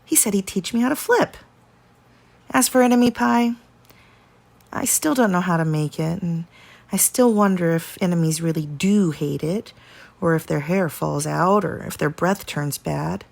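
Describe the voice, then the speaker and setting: A woman narrates a children's storybook with animated, dramatic emphasis. Her voice has a noticeable creak, and she stretches out important words as a teacher would for young listeners. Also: lowish voice, normal speed. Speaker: teacher reading a picture book aloud.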